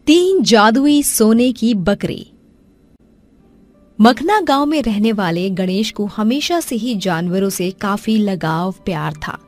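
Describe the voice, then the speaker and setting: A woman narrating a cartoon story in a clear, even way. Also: medium pitch, takes it slowly, soft background music.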